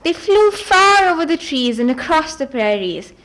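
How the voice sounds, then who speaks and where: Casting: woman with a high voice for an expressive live reading of a fantasy novel, small stumbles left in. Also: normal speed, low-quality recording. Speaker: reader at a book event.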